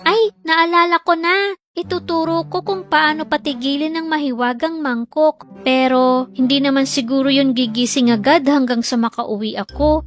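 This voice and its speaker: A woman's voice: sits high, fairly even intonation, moderate pace, soft background music, voice pushed up, lightly acted. A woman voicing a bossy, forgetful big sister in a children's fairy tale, exclaiming and scolding. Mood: flustered. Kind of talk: children's storybook acting.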